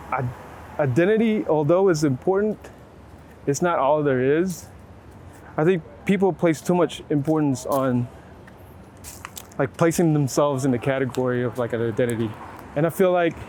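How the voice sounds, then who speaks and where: A man's voice: fairly high pitch, a little mellow. A middle-aged man giving casual, reflective interview answers, often rewording his thoughts as he goes. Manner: halting, filler-heavy phrasing with frequent rewording. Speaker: photographer being interviewed. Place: outdoors in a city. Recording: outdoor city traffic noise. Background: urban ambience, vehicles.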